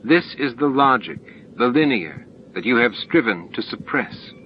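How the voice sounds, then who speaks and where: Man acting cryptic alien being, solemn, insistent, slow stilted delivery, raised pitch, audiobook drama, muffled recording. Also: expressive, slight background noise, lightly acted. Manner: deliberate, stilted, pausing between words. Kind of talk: audiobook character dialogue.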